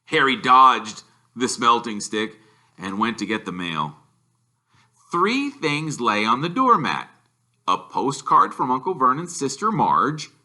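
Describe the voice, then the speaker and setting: A man's voice: mid-range voice, pushed and bright. A man reading a children's novel aloud as a teacher would, in storybook narration.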